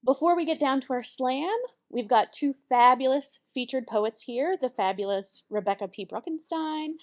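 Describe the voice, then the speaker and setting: A woman with a fairly high voice speaks into a handheld microphone to a live crowd in a library. She is the evening's host, cheerful and welcoming as she thanks sponsors and brings up the first featured poet. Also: normal speed. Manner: upbeat, welcoming. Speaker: poetry slam host. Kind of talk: emcee introduction to a live audience. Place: public library event room.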